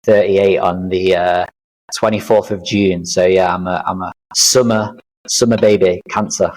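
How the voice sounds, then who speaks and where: A man in his thirties speaks in a low, deep register. He talks through his experiences in an earnest, reflective way, with plenty of fillers and restarts. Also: steady tone, a little slow, darkish tone, low-quality recording. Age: 30s. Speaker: podcast guest, life coach. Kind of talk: casual interview chat.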